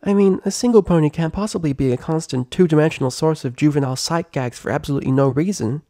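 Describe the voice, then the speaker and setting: A man's voice: fairly high pitch, quick pace, dark tone, dry recording, studio quality, pitched above the performer's own voice, close to an everyday voice. A man voicing a bubbly, talkative young female cartoon pony in a story reading, with gushing run-on speech. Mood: solemn, anguished. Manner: gushing, rambling, endless run-on chatter. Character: hyperactive, chatty, bubbly young female pony party girl. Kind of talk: animated fan fiction character reading.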